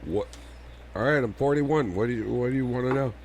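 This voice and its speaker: A man's voice: mid-range voice, a little fast, darkish tone, slight background noise. A man in his forties as a podcast co-host, swearing often and sounding exasperated in casual banter. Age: 40s.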